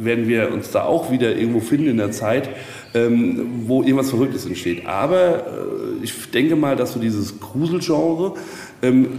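A man explaining things casually in a reflective, halting way, searching for his words as he goes. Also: mid-range voice, mellow. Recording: echoey reverberant hall, faint noise.

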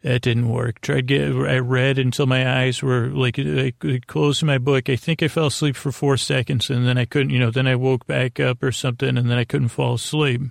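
An American man hosting a podcast, giving a soothing, meandering bedtime monologue in a halting way, often repeating himself and trailing off. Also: mid-range voice, little pitch movement, creaky, no echo, clean studio sound. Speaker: podcast host.

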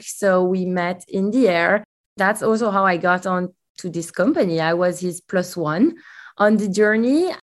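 A woman from Belgium with a Belgian accent, a company head telling her story as a guest in a casual interview. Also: medium pitch.

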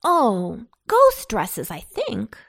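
A young storybook boy acted by a woman, high-pitched and earnest. He is a bossy big brother who exclaims with indignation, his pitch leaping about. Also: wildly swooping pitch, drawn-out words, gravelly, fairly bright tone, slightly rough, no echo, studio quality, heavily acted. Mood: pondering. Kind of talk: children's storybook character voice.

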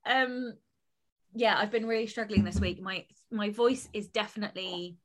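A young adult woman co-hosting a casual podcast, animated and rambling, laughing often. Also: medium pitch, moderate pace, rough recording. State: voice lower than usual. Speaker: podcast co-host. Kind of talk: casual chat.

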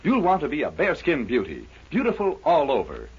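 Very husky, swooping male ad announcer. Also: on the high side, huge pitch swings, fairly quick. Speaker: commercial announcer. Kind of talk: 1940s radio soap advertisement. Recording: dull vintage radio recording.

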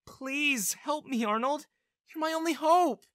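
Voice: medium pitch, big pitch swings, moderate pace, pushed and bright, dry recording, clean studio sound, voice pushed up. A narrator voicing an earnest, determined woman spy with an urgent manner, in storybook dialogue. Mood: desperate, pleading. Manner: pleading, urgent, resolute. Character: earnest, determined female Russian spy seeking shelter. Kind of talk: audiobook-style character dialogue.

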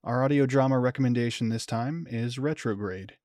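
A man's voice: medium pitch, moderate pace, darkish tone, dry recording. Male podcast announcer, mid-range, scripted credit read, tight dry studio-style sound. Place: close, dry recording.

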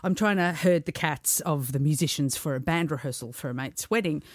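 An Australian woman, a singer, chatting on a podcast in a lively storytelling way and laughing often. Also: low voice, brisk, no room sound, studio quality. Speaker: singer guest. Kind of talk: casual podcast chat.

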